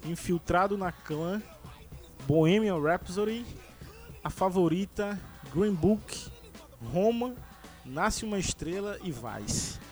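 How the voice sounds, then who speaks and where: Man, podcast host, slightly high voice, halting speech full of fillers, faint music behind. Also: animated, a little mellow. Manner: hesitant, many fillers and restarts. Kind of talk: casual movie chat.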